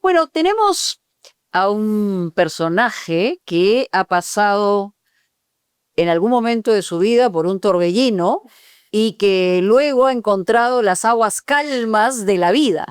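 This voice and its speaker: A woman's voice: mid-range voice, animated, slow, smooth, no room sound, clean studio sound. A woman who co-hosts a podcast. She asks probing questions and affirms the guest often. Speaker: podcast co-host. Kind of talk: interviewing a guest. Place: podcast studio.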